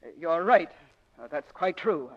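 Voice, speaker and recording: A man's voice: a little high, lively intonation. A man playing an abrupt, forgetful, wealthy mistress of an estate in a 1940s radio drama, curt and imperious. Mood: flustered, halting. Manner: curt, imperious, halting when caught out. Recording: dull vintage 1940s radio recording.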